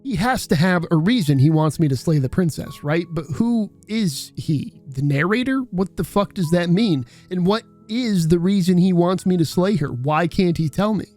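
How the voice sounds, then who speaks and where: A man's voice: sits high, expressive, moderate pace, few pauses, a little mellow, soft background music. A man narrating a scripted video essay in a conversational, irreverent, sweary way.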